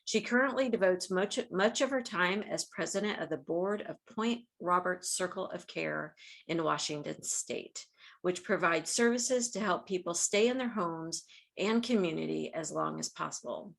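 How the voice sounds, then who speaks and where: A woman's voice: lowish voice, moderate pace, gravelly. A woman in her fifties speaking as a podcast host, conducting an interview. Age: 50s.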